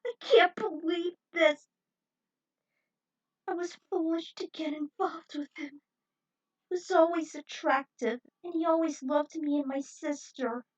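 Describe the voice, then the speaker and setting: A woman's voice: very high-pitched, fairly even intonation, unhurried, far from the mic, voice pushed up. A woman voicing a heartbroken, guilt-ridden young female adventurer, despairing and wailing, in a story reading. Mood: distraught. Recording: dull, muffled lo-fi audio.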